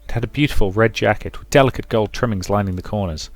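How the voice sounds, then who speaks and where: A man's voice: fairly low pitch, fast. A man hosting a let's play, moving between casual commentary and reading a visual novel's story aloud. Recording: roomy echo with music behind. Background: game music.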